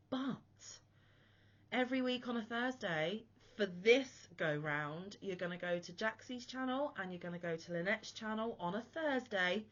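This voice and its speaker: Woman crochet vlogger, mid-pitched, feeling poorly and slightly wheezy, chatty and self-mocking, on slightly dull audio. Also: a bit bright. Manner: chatty, self-deprecating, rambling asides. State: unwell, chesty and a bit wheezy. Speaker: crochet channel host. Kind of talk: casual chat to camera.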